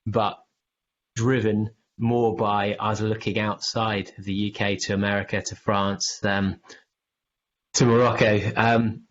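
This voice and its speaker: A man's voice: lowish voice, little pitch movement, slow, dull audio, slight background noise. A British man hosting a podcast, asking long rambling questions in a casual way. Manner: rambling, loosely built questions. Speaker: podcast host.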